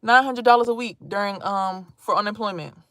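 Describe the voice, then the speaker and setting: A woman's voice: mid-range voice, a little fast, no room sound, clean studio sound. Blunt chatty woman in her thirties. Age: 30s. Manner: blunt, animated, swearing freely, chatty asides to viewers. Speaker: vlogger streaming to viewers. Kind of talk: casual live-stream talk.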